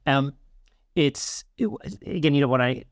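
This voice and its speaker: A man's voice: medium pitch, measured pace, no room sound, studio quality. A middle-aged man chatting casually as a podcast host, circling back over his phrases as he talks. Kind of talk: casual conversational chat.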